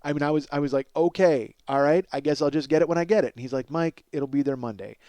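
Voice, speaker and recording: Rambling, profane male comic storyteller, fairly high-voiced. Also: fast talker, dark tone, no echo. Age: 40s. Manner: rambling, self-deprecating, acts out both sides of conversations. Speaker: podcast host. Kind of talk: comedic storytelling monologue.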